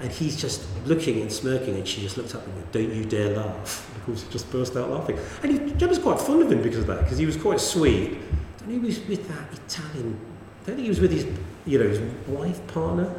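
A man's voice: fairly quick, hardly any pauses, slight background noise. A middle-aged man, co-hosting a casual chat and telling anecdotes. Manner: chatty anecdotal storyteller, lively swings in pitch. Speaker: podcast co-host. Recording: echoey room with long reverb.

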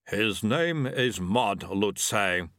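A man voicing a stern male martial arts master who speaks in a measured, formal way. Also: lowish voice, animated, a bit bright, no room sound, clean studio sound, heavily acted.

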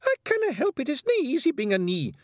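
A man voicing tiny, quarrelsome pixie men with Scottish accents, gruff and cussing. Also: very high voice, huge pitch swings, fast, dry recording, clean studio sound, pitched above the performer's own voice, full character voice. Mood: squabbling. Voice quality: gruff, shouty, coarse cussing.

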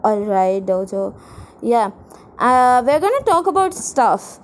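A woman's voice: on the high side, big pitch swings, slow pace. A young adult woman with an Indian accent, co-hosting a podcast in a casual, rambling way, with a self-mocking, dramatic, irreverent manner. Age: young adult, college student. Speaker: podcast co-host.

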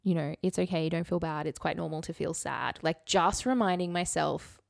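A woman's voice: on the low side, steady tone, normal speed, gravelly, dry recording, studio quality. A woman co-hosting a podcast in casual chat, reading bits aloud with wry, candid asides. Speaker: podcast co-host.

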